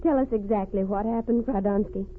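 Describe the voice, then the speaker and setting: An actress playing an earnest, coaxing investigator's assistant in an old radio drama. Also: female voice, mid-range voice, fairly quick, slight background noise, natural voice. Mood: urgent, coaxing. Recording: tinny vintage radio recording.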